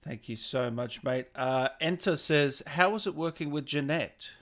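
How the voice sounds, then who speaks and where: A man's voice: fairly high pitch, a little slow, a bit bright. A man hosting a casual online interview, chatting with his guest and reading out viewer comments. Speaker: interview host, livestreamer. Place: remote online video call.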